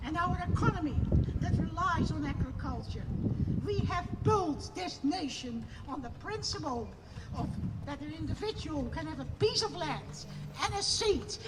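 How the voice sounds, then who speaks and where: A woman with a Dutch accent giving an impassioned, declamatory protest speech outdoors at a rally, building to loud emphatic peaks. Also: extremely high pitch. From Netherlands. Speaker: protest speaker. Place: outdoor rally on parliament steps. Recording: distant mic, loud outdoor noise.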